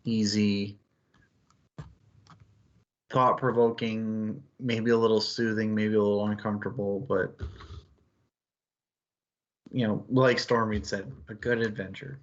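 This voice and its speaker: A man's voice: lowish voice, little pitch movement, takes it slowly, long pauses, highs cut off. A man co-hosting a casual book discussion, talking in a rambling, conversational way peppered with filler phrases. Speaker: book club show co-host.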